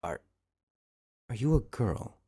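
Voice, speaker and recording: A man's voice: lowish voice, sing-song, takes it slowly, dark tone, breathy edge, pitched above the performer's own voice, heavily acted. A man voicing a wry, casual, diplomatic sword-carrying adventurer in a fan fiction audio story. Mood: baffled. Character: wry, diplomatic armed adventurer facing a dragon.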